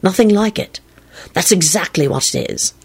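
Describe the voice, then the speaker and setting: A woman's voice: lowish voice, animated, moderate pace, creaky, darkish tone, breathy edge, exaggerated voice. A woman voicing a lovesick young man in a story, dreamy, earnest and rambling.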